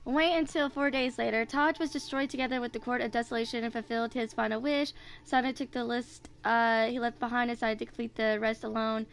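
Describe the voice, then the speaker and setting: A woman in her twenties talks through a video game she is playing. Her voice is on the high side with plenty of vocal fry. She moves between offhand chat and reading on-screen text aloud. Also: fairly even intonation, fairly quick. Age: 20s. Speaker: gaming video host.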